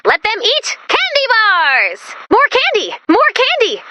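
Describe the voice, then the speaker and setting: Young female game robot, perky, quippy, anxious, bright tone, very dry close sound. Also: extremely high pitch, measured pace, no echo, exaggerated voice. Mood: giddy, excited. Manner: expressive, quippy, nervously chatty. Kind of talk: video game character voice lines.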